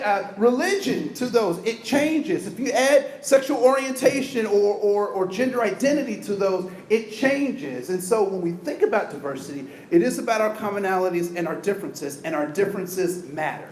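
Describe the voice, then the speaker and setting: A man giving an energetic, interactive diversity training talk, asking the audience questions. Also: sits high, echoey room, rough recording. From United States.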